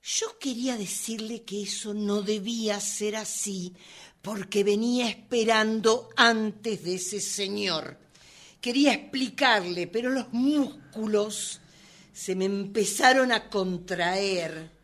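A woman's voice: mid-range voice, takes it slowly, fairly bright tone. A woman playing a hot-tempered woman in a radio drama, insistent and repeating herself as she justifies her outbursts. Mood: furious.

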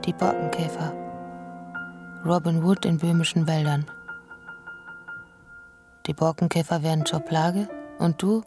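Brooding radio play narrator lady, low and slow, terse lines over loud music. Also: fairly even intonation. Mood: brooding, ominous. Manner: slow, terse, poetic second-person address. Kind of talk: scripted radio drama narration.

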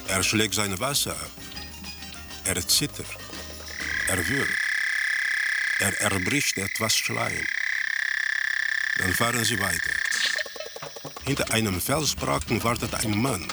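A detached male narrator telling a grim crime story for radio. He speaks slowly and deliberately in a lowish, gravelly voice. Terse sentences are laid over loud music. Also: lively intonation, heavily acted. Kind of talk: scripted radio drama narration. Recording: music under the voice.

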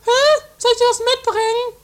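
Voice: very high voice, completely flat delivery, fairly quick. A performer playing a bossy, cheeky, domineering woman in an improvised trash comedy radio play. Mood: bossy, dismissive. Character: Mathilde, bossy, cheeky, slap-happy squeaky sister.

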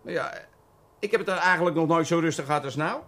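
An actor playing a cagey, boastful small-time ex-burglar in a Dutch radio drama, evasive, colloquial and grudgingly deferential. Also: male voice, a little high, fast, a bit bright, lightly acted. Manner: evasive, colloquial, hesitant, self-justifying.

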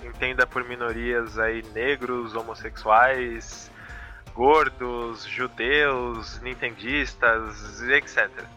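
A man's voice: mid-range voice, steady tone, slow pace, bright, forceful tone, soft background music. A man with a Brazilian accent chatting casually as co-host of a live show. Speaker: podcast co-host.

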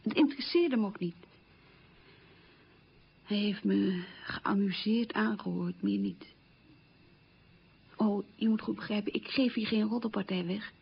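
A woman's voice: mid-range voice, measured pace, vocal fry, long pauses, husky, exaggerated voice. An actress playing a middle-aged woman who recalls wartime hunger in a plain-spoken, emphatic way, in a radio drama monologue. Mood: matter-of-fact, insistent. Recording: dull, muffled old radio recording.

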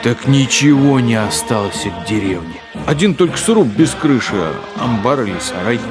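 A soldier, voiced by a man, tells his story insistently, at a medium pitch, almost pleading to be believed. A clear music score runs behind him in a radio play. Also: big pitch swings, moderate pace, natural voice. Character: male soldier telling a war story, eager to be believed.

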